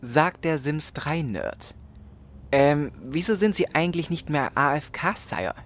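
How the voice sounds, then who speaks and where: An actor playing a deferential, earnest squire with a polite, drily sarcastic manner in a comedy audio drama. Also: male voice, fairly high pitch, expressive, measured pace, a bit bright. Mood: deferential, dry. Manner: polite, servile, dry.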